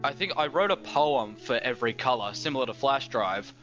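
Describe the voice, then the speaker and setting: An Australian man of eighteen chats easily on a video call, wandering through his thoughts with many fillers. His voice is bright and pushed forward. The audio is somewhat dull, and quiet music plays under it. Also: mid-range voice, animated, brisk. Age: teens. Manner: rambling, many fillers and restarts. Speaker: guest. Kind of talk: casual chat.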